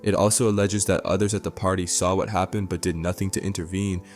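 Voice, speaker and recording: A man reading a scripted celebrity news narration in a measured, dramatic way. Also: low-pitched, little pitch movement, darkish tone. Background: background music.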